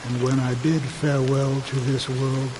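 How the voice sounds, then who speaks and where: An actor playing a solemn, weary war veteran who guides lost souls, speaking gravely and reflectively in a video game cutscene. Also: male voice, medium pitch, normal speed, close to an everyday voice, low-quality recording. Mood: gentle, reflective. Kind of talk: dramatic game cutscene acting. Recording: steady rain noise behind the voice.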